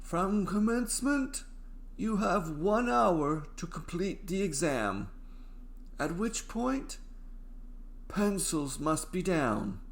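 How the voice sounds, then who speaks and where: Man acting stern, high-pitched, slow-talking professor. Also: very animated, gravelly, heavily acted. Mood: stern, formal. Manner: slow, drawn-out commands. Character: stern, aloof exam professor, male.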